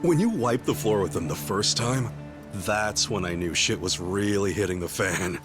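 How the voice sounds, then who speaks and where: An actor voicing a brash, wisecracking young male yakuza buddy in an English game dub, teasing, excitable and swearing freely. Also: fairly low pitch, normal speed, natural voice. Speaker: game character. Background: game soundtrack music.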